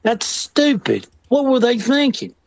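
Slow-talking man telling a funny story. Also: very high-pitched, vocal fry, dry recording. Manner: animated, acts out other people's lines, laughs often. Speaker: storyteller guest. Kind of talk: casual comedic storytelling.

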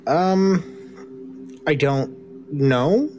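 A man voicing a weary, guarded older woman survivor who answers in halting, hesitant bursts. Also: fairly high pitch, mellow, a little hiss or hum, pitched above the performer's own voice.

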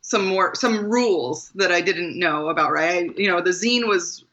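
A woman from Maryland with a bright, forceful voice speaks in a reflective interview, earnest and a little self-deprecating, sometimes restarting her sentences. The sound is slightly muffled and lo-fi. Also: fairly low pitch, moderate pace. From Maryland, USA. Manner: thoughtful, earnest, with small laughs and restarts. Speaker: guest author.

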